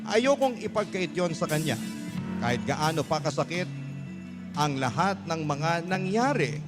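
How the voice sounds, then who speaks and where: A man's voice: on the high side, very animated, unhurried. A man giving a dramatic scripted narration as a radio storyteller, earnest and sentimental. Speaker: radio storyteller reading a listener's letter. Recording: clear music bed under voice. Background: music.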